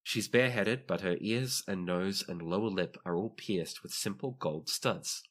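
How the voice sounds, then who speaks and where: A man with a deep voice runs a tabletop role-playing game. He narrates scenes as game master in a conversational, descriptive storytelling style. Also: normal speed, fairly bright tone.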